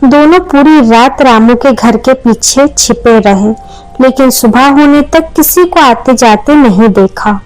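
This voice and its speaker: A woman's voice: sits high, takes it slowly, a little mellow, soft background music, poor audio. A woman narrating a scripted moral story as a storyteller.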